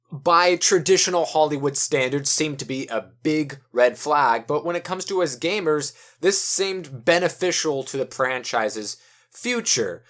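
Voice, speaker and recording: A man giving video essay commentary on games and film, speaking emphatically with drawn-out key words and pauses. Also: medium pitch, a bit bright.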